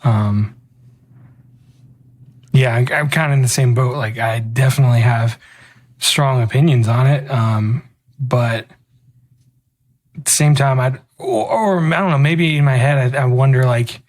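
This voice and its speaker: A man with an average-pitched voice chats with his co-host on a podcast. He talks in an easygoing, loose way and fills his pauses with "um" and "like.". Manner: relaxed, easygoing, lots of "um" and "like". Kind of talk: casual chat.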